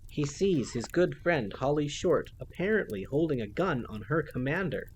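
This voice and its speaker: A man's voice: a little high, animated, moderate pace, a little hiss or hum, pitched above the performer's own voice, lightly acted, poor audio. A man voicing a snide, childlike female villain who mocks and gloats in a theatrical sing-song. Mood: mocking.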